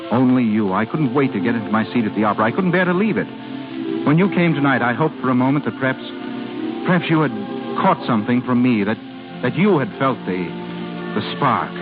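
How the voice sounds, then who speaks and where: An actor playing an ardent, possessive suitor in a 1930s radio drama, speaking in an impassioned, insistent, theatrical way. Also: male voice, mid-range voice, lively intonation, fairly quick, lightly acted. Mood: ardent pleading. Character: ardent, possessive male suitor pleading his love. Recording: tinny crackly 1930s radio broadcast, music behind.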